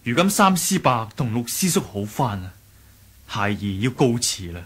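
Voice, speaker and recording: A man playing an earnest, righteous young martial arts hero in a radio drama, emphatic and husky, with a Hong Kong Cantonese accent. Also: medium pitch, sing-song, normal speed, a bit bright. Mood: respectful.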